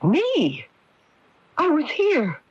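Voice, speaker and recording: An actress playing a scheming, cold-blooded wife in an early 1980s radio mystery, with deliberate, dramatic delivery. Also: female voice, high voice, wildly swooping pitch, no echo, full character voice. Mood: alarmed, suspicious. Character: scheming, cold-blooded wife who poisoned her husband. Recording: muffled lo-fi 1981 AM radio recording.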